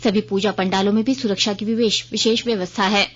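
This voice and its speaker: Female radio newsreader, formal bulletin delivery, medium pitch, lo-fi broadcast audio. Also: steady tone, fairly quick. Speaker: radio news reader. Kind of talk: formal scripted Hindi news bulletin reading.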